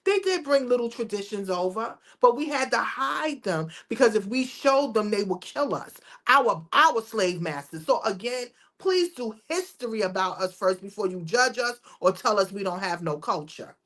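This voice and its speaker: A woman's voice: mid-range voice, lively intonation, normal speed, few pauses, fairly bright tone. A woman co-hosting a podcast, talking casually and emphatically, often checking in with "you understand?". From United States. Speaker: podcast co-host. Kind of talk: casual opinionated discussion.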